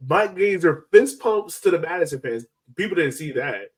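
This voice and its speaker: Male podcast host, upper-register voice, very lively intonation, excitable casual soccer banter. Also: high-pitched, normal speed, breathy edge. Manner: excitable, animated storytelling. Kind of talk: casual sports chat.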